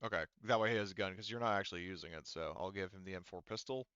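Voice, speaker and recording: Casting: male game master, lower-pitched, casual and sweary storytelling, close dry but slightly dull recording. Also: very fast, a bit bright, clear, resonant tone, no room sound. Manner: casual, profane. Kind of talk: narrating a tabletop roleplaying game.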